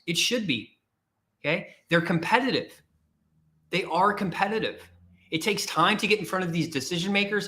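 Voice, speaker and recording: A man's voice: sits high, sharp tone. A man hosting a career podcast, talking to listeners in an emphatic, repetitive way and challenging them directly. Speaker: podcast host and career coach. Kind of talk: motivational livestream talk.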